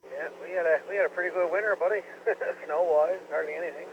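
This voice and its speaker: A man's voice: on the high side, quick pace. A man with a Newfoundland accent chatting on a ham radio in short, laid-back replies. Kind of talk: casual radio chat. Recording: dull radio transmission with faint noise.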